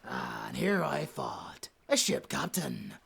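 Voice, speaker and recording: A performer in an audio drama voicing a swaggering, theatrical pirate captain, gravelly and taunting, dropping into breathy growls. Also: medium pitch, expressive, slow, a bit bright, exaggerated voice. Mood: mock-polite, teasing. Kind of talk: cinematic audio roleplay acting.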